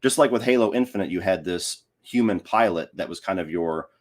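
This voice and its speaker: A man's voice: low voice, a little fast. A man speaking as a podcast guest, giving his opinions in casual conversation.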